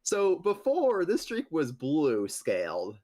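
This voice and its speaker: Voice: medium pitch, huge pitch swings, slow, a little mellow. A player casually describing moves in a tabletop game.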